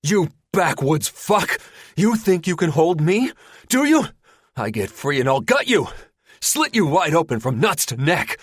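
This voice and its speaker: A man voicing a furious male federal agent held captive, shouting threats. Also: fairly high pitch, expressive, normal speed, no echo, studio quality, pitched above the performer's own voice. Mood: enraged.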